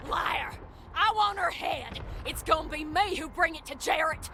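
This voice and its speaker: A woman's voice: very high-pitched, normal speed, sharp tone, slight background noise, full character voice. An actress playing a vicious, glory-hungry female raider who snarls threats at a hostage, in a video game. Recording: game music behind.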